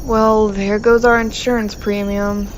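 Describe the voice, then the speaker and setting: A woman playing a dry, deadpan, practical ship engineer in a sci-fi comedy audio drama. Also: medium pitch, unhurried, a little hiss or hum, close to an everyday voice. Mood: unimpressed.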